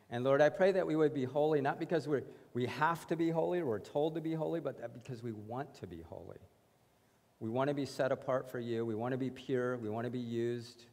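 A man's voice: medium pitch, darkish tone. A man leading an earnest spoken prayer from a lectern on a church stage, building emphasis on repeated phrases. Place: church stage, large indoor sanctuary. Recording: long reverb of a church hall.

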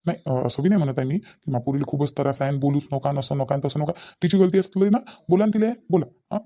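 A man's voice: a little high, quick pace, mellow, close to an everyday voice. A man playing a concerned middle-aged village father in a comedy cartoon, reasoning earnestly with questioning tags, in a rural Vidarbha Maharashtrian accent. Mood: pleading, reasoning. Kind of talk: Marathi comedy cartoon dialogue.

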